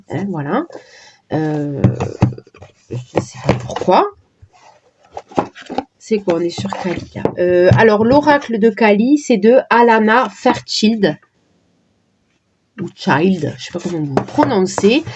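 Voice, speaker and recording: A woman casually presenting her oracle card decks, talking in a hesitant way with many pauses and fillers. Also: medium pitch, darkish tone, lo-fi sound, slight background noise. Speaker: card reader vlogger.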